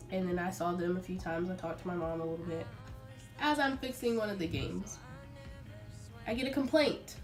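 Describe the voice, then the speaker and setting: Chatty young American woman with background music. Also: mid-range voice. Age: young adult. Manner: chatty, informal, animated retelling with acted-out quotes. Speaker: vlogger. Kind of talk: casual storytime monologue.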